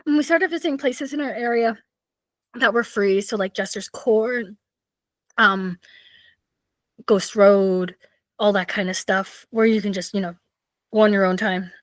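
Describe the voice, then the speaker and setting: A woman in her thirties with a raspy voice, hosting a podcast and chatting casually with lots of fillers. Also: medium pitch, a little slow, pauses often, no echo. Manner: chatty, rambling, with many fillers. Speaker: podcast host. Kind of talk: casual solo storytelling.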